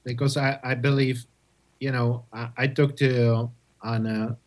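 A man from Czechoslovakia with a Czech accent, answering interview questions in halting English full of restarts. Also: mid-range voice, fairly even intonation. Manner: halting, many restarts and repeated words. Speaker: guest, testing company representative.

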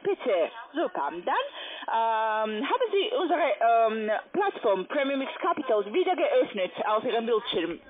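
A woman is selling over a tinny phone line in accented, non-native German. Her voice is fairly high and swings widely in pitch. Her phrasing is halting and formal, like a call centre agent working through a pitch. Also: very animated. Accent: foreign, non-native German. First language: not German. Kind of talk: telephone sales pitch.

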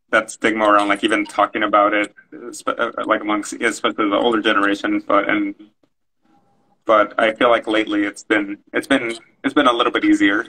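A man's voice: on the low side, sharp tone, rough recording. A man speaking on a video call panel, hesitant and reflective, often searching for his words. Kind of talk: casual panel discussion. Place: room at home, indoors.

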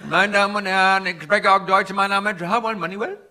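A man playing a cheery, flattering Indian finance guru with an Indian accent, in theatrical character stand-up that repeats phrases and banters with the audience. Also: high voice, a little fast, close to an everyday voice. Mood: mock-earnest explaining. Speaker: stand-up comedian. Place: indoor live venue before an audience. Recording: live stage with noisy audience. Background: audience laughter and crowd ambience.

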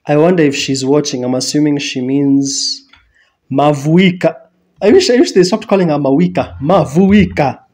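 A man's voice: on the high side, normal speed, a little mellow, slight background noise. A man in his thirties with a Kenyan accent, chatting casually as a reaction host, easygoing and chuckling. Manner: easygoing, gestures a lot, chuckles. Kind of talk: casual chat. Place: small indoor room. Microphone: headphones and desk mic.

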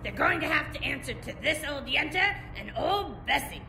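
A woman's voice: high voice, expressive, normal speed, pushed and bright, exaggerated voice. A woman playing a feisty, stubborn elderly mother in an audio drama, scolding and brash. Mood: defiant. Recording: echoey room, faint music underneath.

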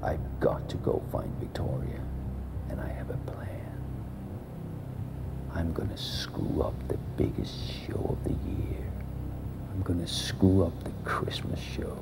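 A man telling a first-person story in a hushed, breathy whisper. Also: extremely low pitch, lively intonation, slow pace.

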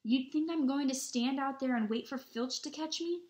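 Lady reading as a bossy schoolgirl, high voice, indignant and naggy, storybook style. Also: moderate pace, a little mellow, echoey room, natural voice. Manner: scolding, insistent. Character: bossy, indignant schoolgirl scolding her classmates.

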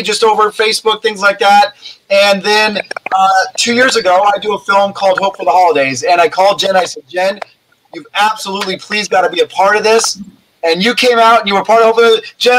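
Upbeat high-voiced man hosting a video call. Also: bright, forceful tone, slight background noise, rough recording. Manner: upbeat, enthusiastic. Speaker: host. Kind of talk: casual video call chat.

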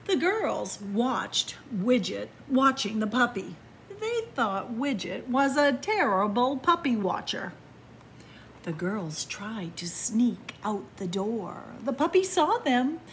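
A woman reading a picture book aloud to children in a library, with slow, expressive storytelling, hushed whispers and excited rises. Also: on the high side, slight background noise. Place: library in front of bookshelves. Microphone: camera facing the book.

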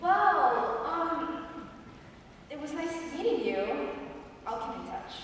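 A woman's voice: sits high, sing-song, slow, fairly bright tone, slight background noise, exaggerated voice. A young woman on a school stage playing a college admissions interviewer who grows politely exasperated. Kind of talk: stage play performance. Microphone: far audience camera. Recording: echoey hall, far from camera.

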